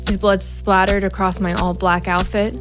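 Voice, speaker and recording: An actress playing a grim, vengeful female private investigator, narrating a noir audio drama in a hardboiled, deliberate, brooding manner. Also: mid-range voice, level tone, over music, natural voice. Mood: bitter, angry.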